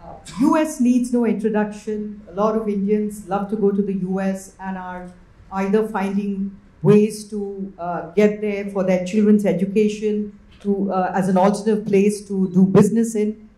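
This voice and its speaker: A woman's voice: medium pitch, fairly even intonation, moderate pace, warm tone. A woman moderating a conference panel and introducing speakers. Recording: echoey room sound.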